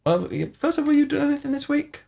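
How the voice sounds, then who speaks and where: A man co-hosts a casual podcast, his voice a little hoarse from coughing. He rambles and teases, often breaking off mid-sentence, and his pitch rises and falls a lot. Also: big pitch swings, fast talker, warm tone. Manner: teasing, rambling, self-interrupting. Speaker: podcast co-host. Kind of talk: casual chat.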